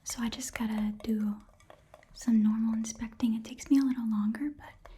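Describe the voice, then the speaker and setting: A woman voicing an eager, folksy goblin pawn shop keeper in a soft whispered ASMR roleplay, murmuring haltingly to a customer. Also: on the high side, steady tone, slight background noise, lightly acted. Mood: curious, folksy. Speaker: ASMR performer playing a shopkeeper.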